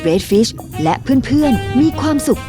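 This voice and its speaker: A woman narrating a dubbed children's cartoon in a slow, expressive storybook reading. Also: on the high side. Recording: music bed under voice.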